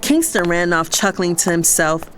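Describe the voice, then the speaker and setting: A woman's voice: fairly low pitch, lively intonation, normal speed, fairly bright tone, slight background noise. A woman playing a fed-up, sassy young career woman, narrating an audio drama in the first person. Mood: exasperated. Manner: exasperated, sassy.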